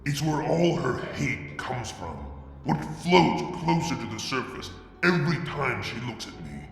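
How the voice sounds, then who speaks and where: A man narrates a dark fan fiction story in the first person, brooding and deliberate. His voice is gravelly, with lively shifts in pitch. The recording has a long, ringing reverb. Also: faint music behind. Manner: brooding, deliberate, with dramatic drops in loudness. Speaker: fanfiction reader. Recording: reverberant room with long echo.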